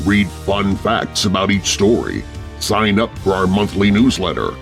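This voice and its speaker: A man reading a scripted outro announcement for a podcast in a steady promotional manner. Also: lowish voice.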